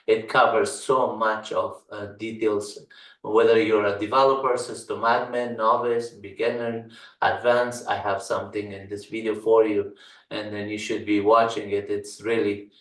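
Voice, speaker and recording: A man from Afghanistan, a native Dari speaker, talks through a computer tutorial in a halting way, filling pauses and listing items one by one. His voice sits fairly low and stays flat. The mic is some way off in a room with noticeable echo. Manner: halting, many fillers, lists items slowly. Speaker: channel host and tech instructor. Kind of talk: casual screen-recorded tutorial talk. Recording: roomy echo, mic at a distance.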